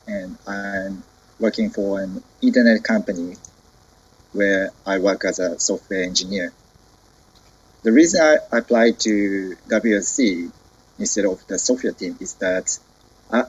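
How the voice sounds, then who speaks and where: Man, 20s, Japanese accent, slightly low and breathy; measured, halting self-introduction over a call. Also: highs cut off. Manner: careful, halting, searching for words. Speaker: committee member. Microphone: video call.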